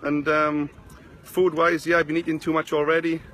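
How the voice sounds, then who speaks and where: A man's voice: on the high side, level tone, normal speed, fairly bright tone, slight background noise. Chatty South Wales man on a beach. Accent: South Wales valleys. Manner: rambling, chatty, greets viewers by name. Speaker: online marketing vlogger. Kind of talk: casual Facebook live chat. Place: sunny beach outdoors. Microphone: phone held at arm's length.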